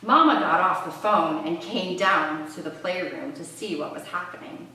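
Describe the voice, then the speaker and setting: Female read-aloud presenter, low register, expressive and chatty teaching delivery, heavily roomy sound. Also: moderate pace. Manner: expressive, with conversational asides and questions to listeners. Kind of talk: read-aloud storytelling and instructional explanation. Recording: roomy echo, distant microphone.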